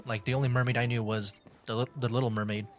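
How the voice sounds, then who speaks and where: A man livestreaming a game with casual, rambling commentary, halting and full of fillers. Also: medium pitch, little pitch movement, slight background noise. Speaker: livestreaming gamer.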